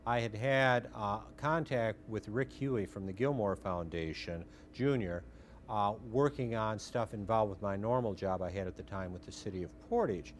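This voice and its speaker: 低めの声の中年男性. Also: male voice, on the low side, moderate pace, slight background noise. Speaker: radio theater founder, interviewee. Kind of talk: interview recollection.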